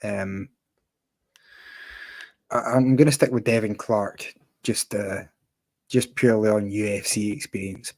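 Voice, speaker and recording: A man's voice: lowish voice, steady tone, measured pace, pauses often, a little mellow, dry recording. A man on a fight picks panel, reading out odds in a brief matter-of-fact way. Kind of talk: casual betting picks chat.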